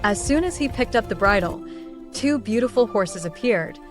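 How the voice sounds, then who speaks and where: A woman's voice: mid-range voice, expressive, moderate pace. Female storybook narrator, scripted fairy tale read, mid-range voice, music underneath. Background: prominent music.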